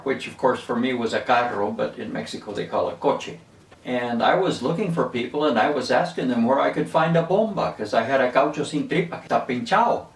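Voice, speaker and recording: A man in his seventies who grew up in Venezuela, unhurriedly reminiscing and explaining words in a casual storytelling way. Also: medium pitch. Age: 70s. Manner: unhurried, reminiscing, chuckles at his own stories. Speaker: linguistics video host. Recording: roomy echo, mic at a distance.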